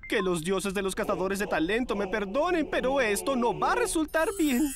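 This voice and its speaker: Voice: medium pitch, expressive, moderate pace, music in the background, lightly acted. An actor voicing a wary, exasperated cartoon talent scout.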